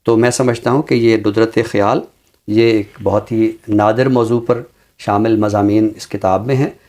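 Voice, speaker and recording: A man's voice: fairly low pitch, steady tone, normal speed, a little mellow. Pakistani man explaining literature in scholarly fashion. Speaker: literary scholar presenting books on a talk show.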